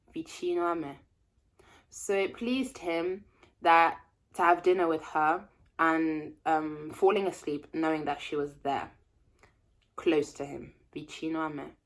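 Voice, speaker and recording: Young woman doing a casual read-along, lowish voice, stops to guess words. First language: French. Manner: reads slowly, pauses to translate and guess words. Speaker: language learner reading aloud.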